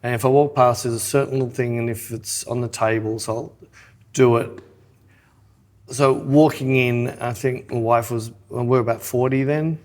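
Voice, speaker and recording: A man's voice: mid-range voice, steady tone, moderate pace, a little mellow. Teasing middle-aged Australian man chatting. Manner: teasing, blunt. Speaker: podcast co-host. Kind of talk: casual banter and anecdotes.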